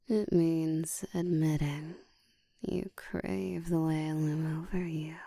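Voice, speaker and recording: A woman playing a dominant, seductive vampire in a whispered roleplay, speaking in a breathy, deliberate, teasing way. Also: on the low side, warm tone, no room sound, studio quality, full character voice. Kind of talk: whispered roleplay audio, ASMR.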